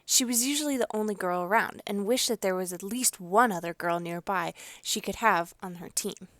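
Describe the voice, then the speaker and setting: A woman's voice: medium pitch, normal speed, a bit bright, no room sound, studio quality. A woman narrating a children's picture book as a storyteller, reading expressively. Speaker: read-aloud storyteller.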